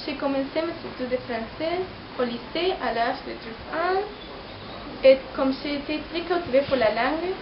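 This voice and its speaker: High-voiced teenage girl in an echoey room. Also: moderate pace. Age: teens, 17. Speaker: student of French. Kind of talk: prepared personal testimony in French as a learner. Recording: muffled, echoey, noisy amateur recording.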